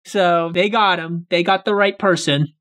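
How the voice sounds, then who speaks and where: A woman playing an excitable, good-natured young man wrongly jailed, in an improvised game roleplay. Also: fairly low pitch, moderate pace, pitched below the performer's own voice, lightly acted. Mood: relieved, weary.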